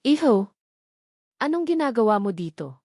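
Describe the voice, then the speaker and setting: A woman's voice: fairly high pitch, very animated, moderate pace, dark tone, no room sound, clean studio sound. A woman voicing a worried, sorrowful older mother in an audio romance drama, anguished and reproachful. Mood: alarmed.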